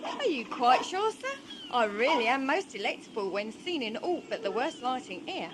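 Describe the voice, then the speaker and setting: Ageing female street seller, saucy, high voice, animated delivery, historical radio play, noisy recording. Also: normal speed. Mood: saucy wheedling. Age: older. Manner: saucy, mock-deferential. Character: pushy ageing street hawker woman in 1605 London, cheeky, wheedling. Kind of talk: audio drama acting.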